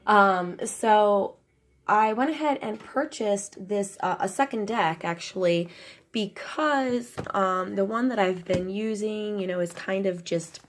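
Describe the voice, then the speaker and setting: A woman's voice: medium pitch, slow. A young woman with a cold, her voice nasal and a little crackly, chatting casually and rambling as she unboxes a tarot deck for her channel. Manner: rambling, many ums and restarts.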